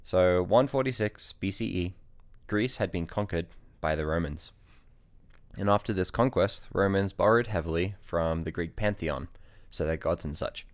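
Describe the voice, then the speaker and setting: A man co-hosting a family podcast, casually chatting and telling stories with deadpan humor. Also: deep voice, moderate pace, no room sound. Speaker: podcast co-host. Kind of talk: casual chat and storytelling.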